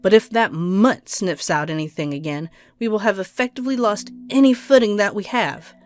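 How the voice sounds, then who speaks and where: A woman's voice: medium pitch, moderate pace, darkish tone, natural voice. An actor playing a cold, impatient woman doctor in an audio drama, curt, clinical and scheming. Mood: cold, calculating.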